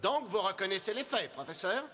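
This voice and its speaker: A man playing a harsh, pompous court judge in an animated series, speaking in curt commands. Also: sits high, brisk. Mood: cold, stern. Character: harsh, imperious male court judge who coldly hands out sentences. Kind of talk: French animated series dub acting.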